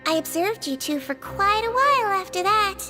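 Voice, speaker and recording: A woman voicing a small, kind, unfazed nonhuman head nurse in a video game, gentle, patient and playfully matter-of-fact. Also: very high voice, animated, moderate pace, loud music behind the voice. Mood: playful, thoughtful. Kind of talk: English video game voice acting.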